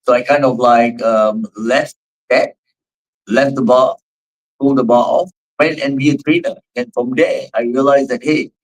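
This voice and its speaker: A man's voice: medium pitch, fairly even intonation, a little slow, low-quality recording. A man in his forties with a Singaporean accent, a fitness trainer answering interview questions in a rambling way with many fillers. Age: 40s. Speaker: guest, fitness trainer and gym owner.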